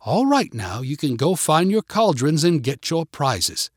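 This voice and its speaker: A man's voice: fairly high pitch, very animated, measured pace, slightly rough, dry recording, clean studio sound, full character voice. A man voicing an earnest young woman who talks like a prim British schoolteacher in a storybook. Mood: welcoming. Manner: prim, lecturing, sweet.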